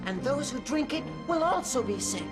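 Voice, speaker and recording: A small, fretful frost creature in a children's cartoon. The voice is high and animated, easily indignant, with music behind. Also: female voice, moderate pace. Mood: worried. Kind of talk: cartoon voice acting.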